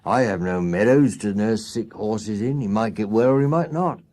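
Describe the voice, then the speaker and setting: A man's voice: fairly low pitch, moderate pace, darkish tone, natural voice. A man voicing a hard, penny-pinching cab-horse owner in a storybook, blunt and working-class. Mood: callous bluntness.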